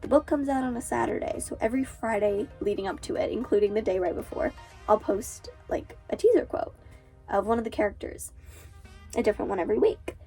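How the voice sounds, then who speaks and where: A woman's voice: on the high side, expressive, a little fast, warm tone, slightly rough, soft background music, low-quality recording. A teenage girl with a cold, sounding stuffy and nasal, chatting casually as an author and booktuber and filling her talk with "like". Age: teens. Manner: chatty, lots of fillers like "like". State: congested, stuffy nose from a cold.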